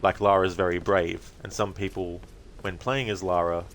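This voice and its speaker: A man giving casual, reflective livestream commentary as a game streamer, speaking haltingly in short phrases. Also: deep voice, flat delivery, fairly bright tone, a little hiss or hum.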